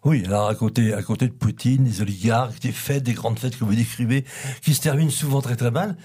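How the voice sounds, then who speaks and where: A man's voice: mid-range voice, a little fast, mellow, breathy edge, soft background music. A man hosting a radio interview, talking hesitantly and often repeating words. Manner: hesitant, repeats words, chuckles while reading. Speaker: radio host.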